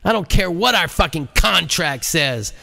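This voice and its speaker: A man's voice: fairly high pitch, expressive, a little slow, gravelly, pushed and bright, voice pushed up, heavily acted. A man voicing an irate, blustering male president in an audiobook, indignant and emphatic. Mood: furious, defiant. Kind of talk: audiobook character acting.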